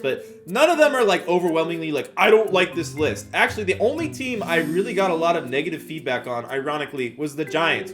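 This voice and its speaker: An American man in his twenties telling a story in a casual vlog, with slangy, self-deprecating phrasing. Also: fairly high pitch. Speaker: YouTuber and storyteller. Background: background music.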